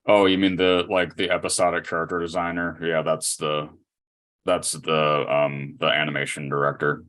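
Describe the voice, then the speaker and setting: A man in a casual podcast chat, explaining things in a halting way. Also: very deep voice, level tone, fairly bright tone, clean tone.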